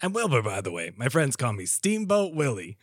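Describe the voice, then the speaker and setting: A man's voice: mid-range voice, huge pitch swings, fairly quick, husky, dry recording, studio quality. A man playing a folksy, polite, mysterious ferry captain in an audio story, speaking hesitantly. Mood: reassuring, friendly.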